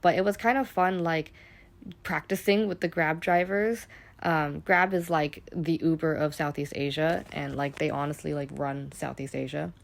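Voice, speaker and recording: Low, gravelly young American woman. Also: fairly even intonation, moderate pace. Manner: chatty, rambling, heavy use of "like", casual swearing. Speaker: travel vlogger. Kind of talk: casual travel vlog voice-over.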